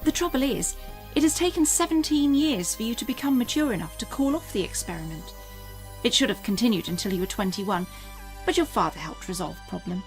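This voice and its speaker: A woman playing an earnest, secretive alien mother, speaking gravely and deliberately in an audio drama. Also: a little high, animated, soft background music, natural voice. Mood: apologetic.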